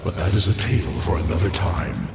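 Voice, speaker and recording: A man voicing a ghostly alien templar with slow, grave, formal speech. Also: deep voice, noisy background. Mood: solemn. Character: ghostly male alien templar, solemn spirit. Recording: distant echoey reverb with music.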